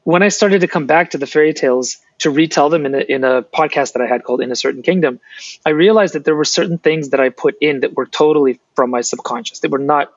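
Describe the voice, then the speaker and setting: A man from San Francisco, raised speaking Russian first, answers interview questions in a chatty, rambling way. His voice sits a bit high for a man and comes through a dry, dull-sounding mic with no room echo. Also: quick pace. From San Francisco, United States. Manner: chatty, rambling, restarts sentences. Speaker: guest author and translator. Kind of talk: casual interview talk.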